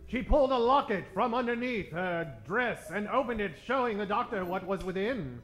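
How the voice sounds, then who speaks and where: An actor playing a grand old-time radio announcer, narrating a serial in florid, dramatic style. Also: male voice, high voice, normal speed, a bit bright, soft background music. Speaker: narrator and host. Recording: roomy stage recording.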